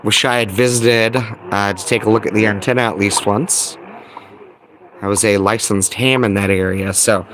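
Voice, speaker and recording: An American man giving a casual, unscripted demonstration as a hobbyist host, halting and thinking aloud while he talks into a phone. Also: fairly low pitch, fairly even intonation, fairly bright tone, a little hiss or hum, low-quality recording. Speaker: hobbyist radio video host. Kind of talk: casual rambling hands-on demonstration.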